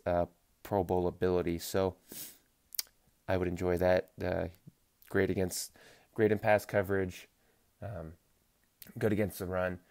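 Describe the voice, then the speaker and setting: A man hosting a casual solo sports podcast, stuffy-nosed from allergies, rambling and correcting himself mid-sentence with wry deadpan asides. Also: deep voice. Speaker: podcast host.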